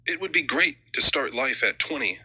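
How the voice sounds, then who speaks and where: A man's voice: medium pitch, animated, moderate pace, pushed and bright, husky. A man reading scripted audiobook narration as an audition.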